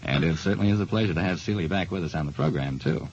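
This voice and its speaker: A man's voice: sits low, quick pace, a little mellow, husky, muffled recording. A man narrating and hosting in 1940s radio style, on an old radio recording. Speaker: detective show star and quiz host.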